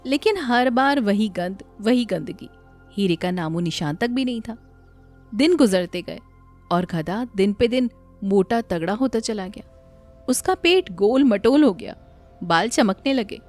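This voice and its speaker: A woman's voice: mid-range voice, expressive, unhurried, a little mellow. A woman narrating a scripted moral story in a dramatic storytelling style. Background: faint music.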